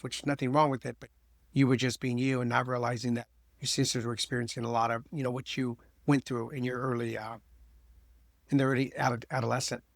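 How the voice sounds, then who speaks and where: A man's voice: medium pitch, gravelly, dry recording, clean studio sound. A man hosting a podcast with his daughter, chatting loosely and joking with many fillers. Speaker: podcast host. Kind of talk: casual chat.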